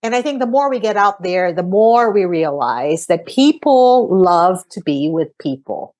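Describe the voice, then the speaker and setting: Woman in her sixties, low-ish voice. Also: animated, measured pace, darkish tone. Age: 60s. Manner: thoughtful, earnest, encouraging. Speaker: podcast host. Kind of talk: conversational podcast answers.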